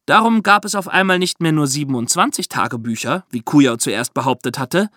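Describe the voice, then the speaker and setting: A man with a fairly high voice and very lively intonation tells history like a story to a friend. He explains as a podcast host in an engaged, conversational way, recorded close and dry. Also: brisk, no echo.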